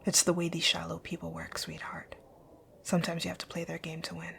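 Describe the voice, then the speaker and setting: A woman acts out a rich, bossy female company owner for an ASMR roleplay. Her voice is low and gravelly with fry, often dropping to a breathy near-whisper. She is composed, curt and quietly flirtatious, over a faint noise floor. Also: monotone. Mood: businesslike, commanding. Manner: composed, commanding, deliberate. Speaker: audio roleplay voice actor. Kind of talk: ASMR roleplay, scripted one-sided dialogue.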